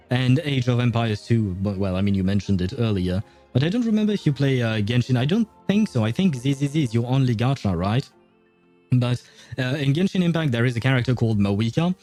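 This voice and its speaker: A man's voice: mid-range voice, warm tone. A man hosting a casual gaming livestream, rambling and hesitant in a jokey way as he talks with his chat. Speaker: livestream host.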